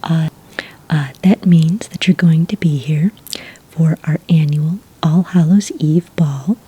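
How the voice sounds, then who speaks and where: A woman doing a soft-spoken, whispered ASMR roleplay as a friendly witch at a hotel front desk, gentle and polite in a breathy voice. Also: low-pitched, fairly even intonation, slow pace. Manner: gentle, polite, customer-service phrasing.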